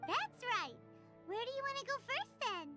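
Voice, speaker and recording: Squeaky, cheeky little fairy girl. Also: big pitch swings, moderate pace. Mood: excited, urging. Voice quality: squeaky, childlike. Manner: perky, teasing. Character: tiny, chirpy, childlike fairy companion, female, cheeky. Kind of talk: English video game voice acting. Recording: music behind the voice.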